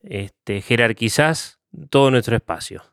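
A man's voice: medium pitch, animated, a little slow, fairly bright tone, clear, resonant tone, no echo, studio quality. A man with an Argentine Spanish accent hosting a program, thanking his guest in a friendly way. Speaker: host. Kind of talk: interview sign-off.

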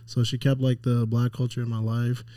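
A man's voice: medium pitch, level tone, normal speed, dark tone, dry recording. A young adult man with an American accent, telling casual anecdotes in slangy speech sprinkled with swearing. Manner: slangy, swearing, hesitant restarts with many "like"s.

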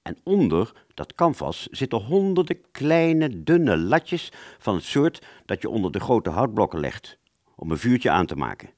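A man playing a wounded British fighter pilot, telling his story in a radio play with a husky voice and halting, stammering delivery. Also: on the low side, big pitch swings, a little mellow. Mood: haunted, halting. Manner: halting, stammering, repeats words. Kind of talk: first-person dramatic narration in a radio play.

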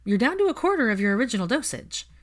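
Woman reading as a brisk, caring female therapist, raised pitch. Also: sing-song. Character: practical, caring woman therapist giving firm instructions.

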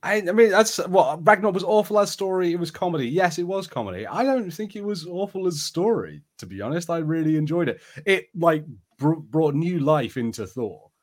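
A man's voice: a little high, lively intonation, brisk, few pauses. A man co-hosting a podcast, chatting casually about films with an enthusiastic, conversational way of telling things. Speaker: podcast co-host. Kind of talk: casual review chat.

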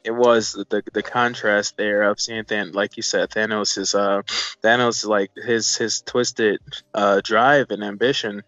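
A man's voice: on the low side, fairly even intonation, a little slow, a bit bright, no echo. A man casually discussing a film, hesitant and rambling, often circling back over his phrases. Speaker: film review co-commentator. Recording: dull sound with music behind.

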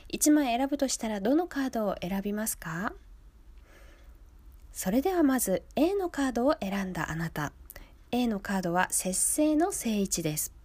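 High-voiced woman hosting radio. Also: darkish tone, no echo. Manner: polite, orderly announcer phrasing. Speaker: radio show host. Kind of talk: radio presenting, talking to listeners.

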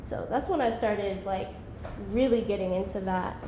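A woman's voice: medium pitch, normal speed, reverberant, noisy background. A woman in her twenties, a podcast guest, talking in a laid-back, rambling way with lots of "like". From Georgia, US. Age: 20s. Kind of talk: casual podcast chat.

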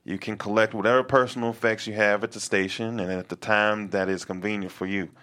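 A man's voice: fairly low pitch, steady tone, fairly quick, a bit bright, lightly acted. An actor playing a curt male station executive at a table read, firing someone with businesslike smugness. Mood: cold.

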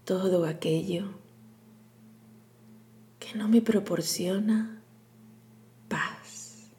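A woman leading a guided meditation in a soothing, hushed, breathy delivery with long pauses. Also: medium pitch. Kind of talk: guided meditation, scripted narration.

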